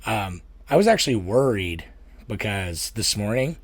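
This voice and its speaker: A man with a raspy voice co-hosting a podcast in casual, laid-back chat, swearing and laughing easily. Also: lowish voice, very animated, moderate pace, no echo. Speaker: podcast co-host.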